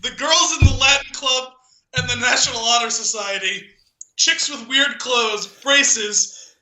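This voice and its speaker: A man's voice: very high-pitched, measured pace, sharp tone, reverberant, low-quality recording. A man co-hosting a podcast with an amused manner, in casual talk and reading aloud. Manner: amused, often breaking into laughter while reading. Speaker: podcast co-host. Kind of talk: casual chat with dramatic poem reading.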